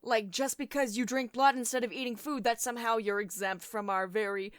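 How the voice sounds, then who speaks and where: A woman's voice: a little high, fairly even intonation, brisk, dry recording, studio quality, lightly acted. A woman playing a stern, commanding CEO in an ASMR roleplay, scolding sardonically and condescendingly, with a caring streak. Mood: indignant, scolding. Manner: domineering, sardonic, clipped reprimands with sugary pet names.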